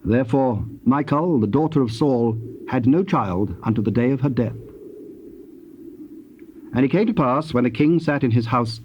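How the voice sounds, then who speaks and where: A man narrating dramatized scripture in a measured, formal storytelling manner. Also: mid-range voice, darkish tone. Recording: dull tape with faint noise.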